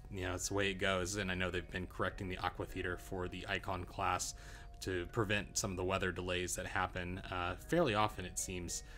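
A young man in a relaxed, chatty travel review, talking casually with frequent "uh" and "um" fillers. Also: deep voice. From Arizona, USA. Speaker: travel vlogger.

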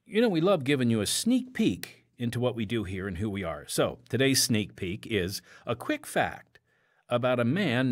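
A man hosting an audio drama podcast, reading announcements with casual, conversational asides. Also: fairly low pitch. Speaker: podcast host.

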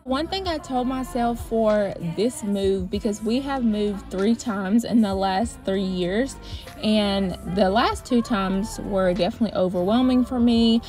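A woman in her thirties chatting casually in a voice-over for her cleaning vlog, relaxed and full of fillers. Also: mid-range voice, unhurried, faint music behind. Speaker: cleaning vlogger.

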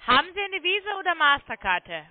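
High-pitched woman on a phone line. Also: big pitch swings, brisk. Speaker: phone sales agent claiming to be technical support. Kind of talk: phone sales call, step-by-step instructions.

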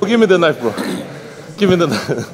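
A man's voice: on the high side, big pitch swings, normal speed, a crowd in the background. A man with a slightly raised voice urges on his sparring partner in broken English. The room is a big gym, with plenty of people talking behind him. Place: large gym.

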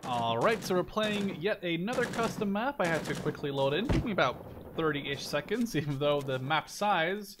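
A man's voice: a little high, lively intonation, normal speed, noisy background. A man giving casual, rambling, self-critical commentary while playing a game, thinking aloud. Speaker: gaming video host. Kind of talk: casual first-person gameplay commentary. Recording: voice over loud game gunfire, artillery. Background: video game gunfire and artillery.

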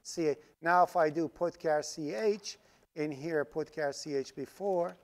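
A man's voice: a little high, unhurried. A man whose first language is Farsi leads a live coding lecture, talking through each step as he types. He keeps checking in with the class and explains in a patient, step-by-step way, recorded over his screen capture. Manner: explains step by step while typing code, asks "right?" often. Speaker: programming instructor. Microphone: screen recording with voice-over mic.

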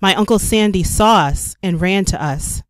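A woman reading a children's story aloud as a storyteller, with careful, expressive narration for young children. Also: medium pitch, moderate pace, dry recording. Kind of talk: scripted read-aloud narration.

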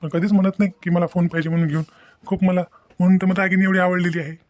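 A man as a fast, high-voiced devoted husband. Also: level tone, dry recording, natural voice. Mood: admiring, musing. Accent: Maharashtrian, Varhadi Marathi. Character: devoted, considerate young husband musing to himself. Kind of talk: cartoon family drama monologue and dialogue.

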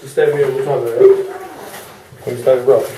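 A man's voice: medium pitch, level tone, quick pace, dark tone. A man on site talking informally and insistently to the explorers. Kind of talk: casual talk. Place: abandoned care home, indoors. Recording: distant, echoey, noisy.

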